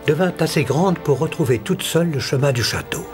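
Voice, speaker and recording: A man's voice: on the high side, animated, a little fast, over music, close to an everyday voice. A man narrating a cartoon fairy tale in a scripted storytelling style.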